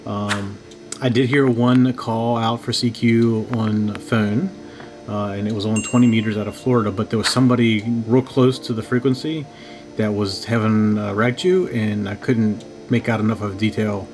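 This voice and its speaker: Low-key man thinking aloud, music behind. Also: fairly even intonation, moderate pace. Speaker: amateur radio operator hosting a video. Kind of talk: casual unscripted walkthrough of radio equipment. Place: home radio shack.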